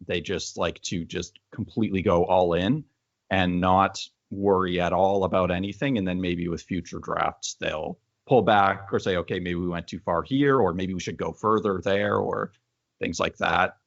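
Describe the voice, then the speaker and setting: A man's voice: sits low, steady tone, moderate pace, creaky, no room sound, lo-fi sound. A man speaking as an interviewer in a conversational literary discussion.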